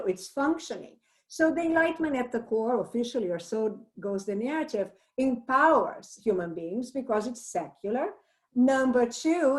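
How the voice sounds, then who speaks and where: A woman professor with a Brazilian accent leading a book discussion over a video call, explaining at length with frequent fillers and restarts. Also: a little high. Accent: Brazilian Portuguese. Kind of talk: online book discussion lecture.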